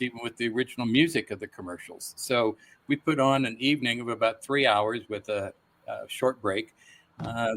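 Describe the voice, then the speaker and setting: A middle-aged man speaking as a guest voice actor, telling stories casually in a relaxed interview setting. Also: medium pitch.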